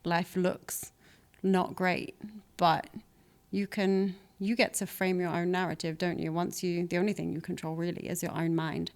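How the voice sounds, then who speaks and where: Woman, 30s, podcast guest, low-ish voice, reflective and chatty, laughs a lot. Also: level tone, moderate pace. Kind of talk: candid conversational interview.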